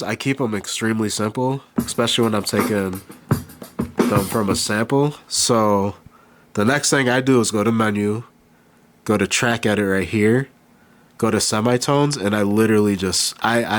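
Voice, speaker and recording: Brief: man, nasal from a cold, low voice, relaxed halting tutorial talk, faint music under him. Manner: relaxed, halting, thinks aloud while working. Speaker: beatmaker demonstrating gear. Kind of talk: casual hands-on tutorial. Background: beat playing behind.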